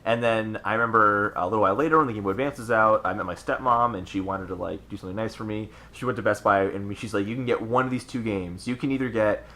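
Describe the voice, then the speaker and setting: Very fast-talking man, casual podcast host. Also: on the low side, sharp tone. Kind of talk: casual podcast chat about video games.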